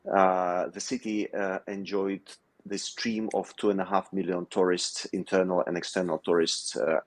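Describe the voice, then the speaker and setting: A man speaks low and deep as a guest on a video call. The sound is dry and close, with no room around it. He explains in a measured way and pauses often to find his words. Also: dry recording. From Ukraine. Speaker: brewery owner, guest. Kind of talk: interview over video call.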